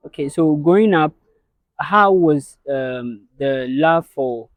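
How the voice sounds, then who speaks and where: A man's voice: a little high, expressive, slow, dry recording, phone-quality sound. Chatty young male podcast host. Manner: friendly, many fillers like yeah and okay. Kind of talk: casual interview.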